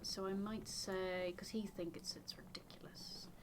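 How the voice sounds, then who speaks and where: A woman's voice: medium pitch, fairly even intonation, measured pace, in a whisper. A woman co-hosting a game playthrough, chatting casually as she goes. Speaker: gaming video co-host. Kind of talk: casual playthrough chat, reading game text aloud.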